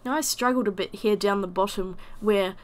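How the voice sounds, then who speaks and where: A woman with a croaky voice from a summer cold, chattily narrating a watercolour painting voice-over. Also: medium pitch, normal speed. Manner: chatty, self-deprecating. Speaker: watercolour artist.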